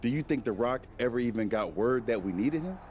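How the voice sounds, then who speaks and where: A man's voice: medium pitch, lively intonation, fairly quick, a little mellow, slight background noise, natural voice. A man playing a defiant, loyal tag-team wrestler in a video game, talking in slangy, emphatic short phrases. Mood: casual, wary. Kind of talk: wrestling promo and video game cutscene acting.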